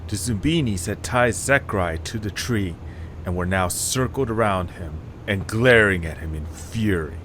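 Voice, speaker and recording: A man narrating a fan audio drama in a calm, measured reading. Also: low voice, slight background noise. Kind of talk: scripted storybook narration.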